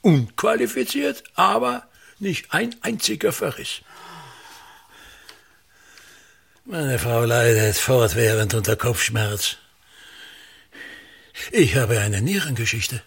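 An actor playing a pompous aging state actor in a radio play, declaiming and grumbling bitterly, swinging between mutters and outbursts. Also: male voice, mid-range voice, lively intonation, slow pace, gravelly, a bit bright, husky, exaggerated voice. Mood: grandiose, scornful. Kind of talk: theatrical radio-play monologue.

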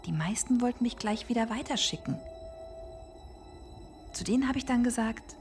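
A woman's voice: fairly high pitch, expressive, moderate pace, a little mellow, soft background music, natural voice. An actress playing a woman in her forties who wryly recalls an anxious childhood in a radio play. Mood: rueful, confiding.

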